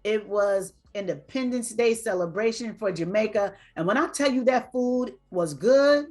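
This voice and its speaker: A woman's voice: mid-range voice, normal speed, a little mellow. A woman hosting a show on female entrepreneurship, speaking in an upbeat, emphatic, motivational way. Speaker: podcast host. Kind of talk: hosted interview show.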